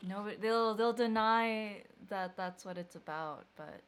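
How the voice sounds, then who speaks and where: A woman's voice: medium pitch, unhurried, clear, resonant tone. A young woman raised in Malaysia and the Philippines chatting casually and playfully with her partner, often finishing his sentences. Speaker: co-host, partner.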